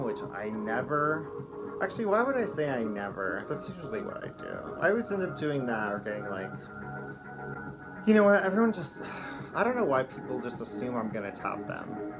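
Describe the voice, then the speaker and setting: A man's voice: mid-range voice, low-quality recording. A middle-aged man, a drag performer, telling a rambling, profane comic story in a campy, chatty way from a small room at home. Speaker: drag performer and show host.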